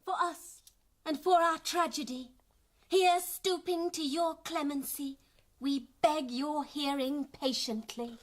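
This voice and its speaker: Earnest, high-voiced female student, unhurried. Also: fairly even intonation. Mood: stiffly reciting. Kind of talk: radio play acting.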